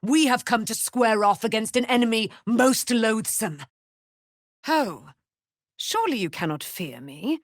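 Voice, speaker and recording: A proud fierce immortal knight lady, slow grand speeches, lowish voice, dry video game sound. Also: lively intonation, no echo. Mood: defiant, menacing. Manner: formal, declamatory, archaic phrasing. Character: proud immortal warrior woman, righteous, grandiose, fierce and vengeful. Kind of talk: dramatic video game voice acting.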